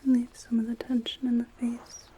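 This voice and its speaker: A woman whispering in a gentle ASMR style as a massage therapist, guiding the listener slowly in short phrases. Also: on the high side, little pitch movement, a little hiss or hum. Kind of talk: whispered ASMR personal attention roleplay.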